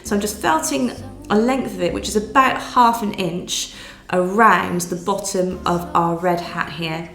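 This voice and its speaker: A woman, nasal and croaky from a cold, patiently talking through a needle felting tutorial step by step. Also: on the low side, a little slow, hardly any pauses. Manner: patient step-by-step explaining with fillers. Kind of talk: craft tutorial voice-over. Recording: roomy sound with soft music behind.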